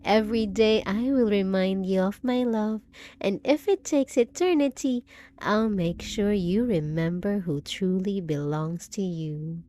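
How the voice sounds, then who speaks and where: A woman's voice: medium pitch, a little slow, mellow, slight background noise, natural voice. A woman voicing a possessive, unhinged undead bride in a roleplay audio drama, speaking with sweet pet names, mock pity and clingy menace. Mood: cloying, menacing.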